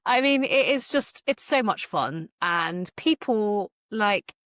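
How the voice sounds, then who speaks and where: A woman's voice: medium pitch, expressive, slow pace, a bit bright, no echo, studio quality. A woman talking casually as an author guest in an interview, chatty and jokingly self-deprecating.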